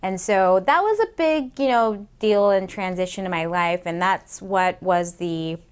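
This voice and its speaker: A woman's voice: medium pitch, unhurried, clear, resonant tone. A woman in her late thirties from Seattle, a comedian guest chatting casually in an interview, rambling with lots of fillers. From Seattle, Washington, USA. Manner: rambling, chatty, many "like" and "you know" fillers.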